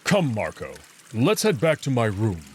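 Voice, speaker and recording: A man's voice: on the low side, wildly swooping pitch, slight background noise. A man voicing a big, proud, protective wolf in a visual novel game, curt and guarded in manner. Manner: curt, guarded, slow and firm.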